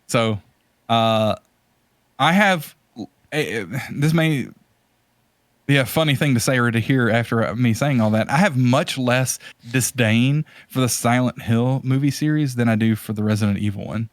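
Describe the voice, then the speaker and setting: A man's voice: mid-range voice, normal speed, no echo. Man chatting in a close, dry recording. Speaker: podcast host. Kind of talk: casual podcast conversation.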